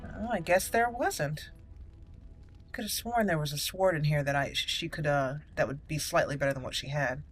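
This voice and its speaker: A woman's voice: sits low, moderate pace, faint music behind. A woman giving casual gameplay commentary, rambling and thinking aloud as she plays. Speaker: gameplay host.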